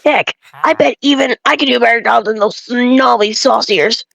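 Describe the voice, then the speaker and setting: A woman's voice: on the high side, moderate pace, creaky, fairly bright tone, rough recording. A woman reading aloud as an opinionated, folksy male bread enthusiast who rambles and grumbles through game dialogue. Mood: opinionated, boastful.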